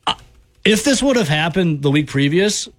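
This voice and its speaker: A man hosting a sports radio show, lively and teasing with callers. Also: on the high side, dry recording. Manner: lively, teasing, quick to joke. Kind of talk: casual sports talk.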